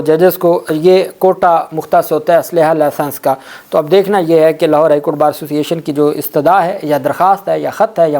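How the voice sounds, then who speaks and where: A man's voice: a little high, darkish tone. A man with a Pakistani accent, speaking as a legal commentator and explaining court news.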